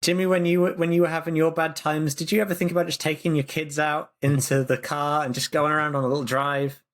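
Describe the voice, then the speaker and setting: A man's voice: a little high, flat delivery, quick pace. Ranting sarcastic man. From Britain. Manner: sarcastic, ranting, stammering restarts. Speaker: podcast co-host. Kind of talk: casual chat.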